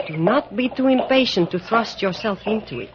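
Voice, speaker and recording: A woman's voice: mid-range voice, lively intonation, moderate pace, close to an everyday voice. A woman playing a grave, pessimistic guide with a formal, foreboding manner in an old radio drama. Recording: muffled, noisy vintage radio recording.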